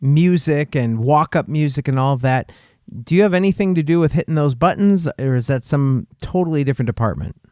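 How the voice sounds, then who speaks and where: A man's voice: fairly high pitch, expressive, fairly quick, a little mellow, no room sound, studio quality. A man hosting a podcast interview, asking short questions and reacting briefly. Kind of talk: casual interview.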